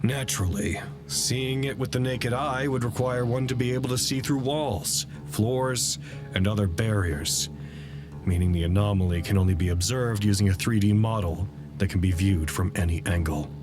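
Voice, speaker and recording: Audio drama scientist played by a man: low male voice, measured, dry, deliberate log narration over music. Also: fairly even intonation, natural voice. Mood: measured, clinical. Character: measured male scientist recording logs about an anomaly.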